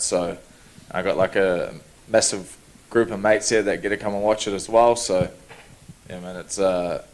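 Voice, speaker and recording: Laid-back New man, lowish voice. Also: poor audio. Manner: relaxed, easygoing, chuckles between answers. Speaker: fighter at media day. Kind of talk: press scrum answers.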